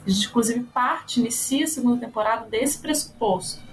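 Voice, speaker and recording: A woman's voice: on the high side, brisk, a little hiss or hum, poor audio. A woman from Brazil, an actress and educator, talking casually and animatedly about her work, thinking aloud with rhetorical questions. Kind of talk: casual interview talk.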